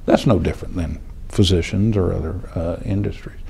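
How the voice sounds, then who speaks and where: A middle-aged or older American man giving a measured, deliberate explanation in an interview, halting now and then as he phrases things. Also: low voice, vocal fry, warm tone, breathy edge. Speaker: healthcare administrator, retired air traffic control official.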